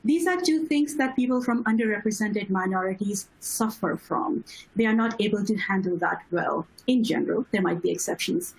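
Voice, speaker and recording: A woman from Pakistan with a Pakistani accent, moderating an online panel discussion in a reflective, measured way. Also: medium pitch, gravelly, slightly rough, poor audio. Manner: reflective, measured, asks follow-up questions.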